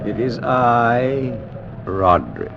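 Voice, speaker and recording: An actor playing a haunted, morbid gentleman with theatrical, formal, intense diction in a 1940s radio horror drama. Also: medium pitch, very animated, slow, gravelly, noisy background, full character voice. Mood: eerie, subdued. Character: haunted, morbid gentleman host, dreading unseen evil. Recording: muffled vintage 1940s radio recording. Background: dramatic orchestral score.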